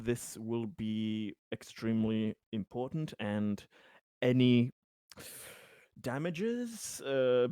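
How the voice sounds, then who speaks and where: Guy doing an earnest scheming character in a tabletop game, halting and reassuring, dry close sound. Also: medium pitch, mellow, clean tone, no room sound. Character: earnest scheming man, reassuring a wary acquaintance.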